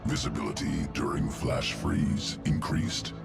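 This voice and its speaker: Super deep croaky alien scientist monster, slow, clipped and clinical, game music loud. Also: male voice, little pitch movement, heavily acted. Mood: clinical, detached. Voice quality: gravelly. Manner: clipped telegraphic speech without articles. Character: coldly clinical alien evolution-master creature. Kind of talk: video game creature acting.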